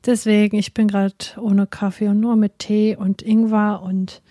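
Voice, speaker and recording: A woman's voice: medium pitch, little pitch movement, a little slow, mellow, dry recording, clean studio sound. A woman co-hosting a podcast in casual chat, rambling with unsure asides while she has a cold. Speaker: podcast co-host. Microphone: remote recording, separate from co-host.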